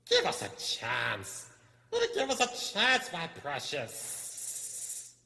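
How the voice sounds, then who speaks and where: A man's voice: a little high, wildly swooping pitch, creaky, bright, forceful tone, voice pushed up, exaggerated voice. A man voicing a wretched, sneaky cave creature in a storybook reading, hissing and spluttering as he draws out his words. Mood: pleading, fretful. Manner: slow, drawn-out muttering with sudden shrieks. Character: wretched, sneaky, hungry cave-dwelling creature, lonely and nasty. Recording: cavernous hall reverb.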